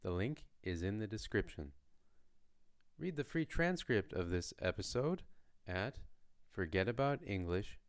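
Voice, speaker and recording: A man from Canada hosting a beginner language podcast, speaking in a slow, lightly joking teaching voice. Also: fairly low pitch, expressive. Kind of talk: slow, clear lesson narration for learners.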